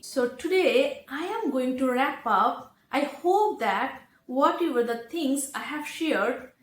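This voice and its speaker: A woman with a high voice teaches at an unhurried pace, setting out each phrase with care and pausing between them. She sounds like an instructor addressing her viewers, recorded in an echoey room. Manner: deliberate, carefully enunciated, pausing between phrases. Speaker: English teacher, host. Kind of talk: instructional talk to camera.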